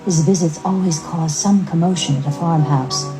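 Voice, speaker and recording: A woman reading a scripted story as narrator, with measured, expressive dramatic delivery. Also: sits low, music in the background, rough recording.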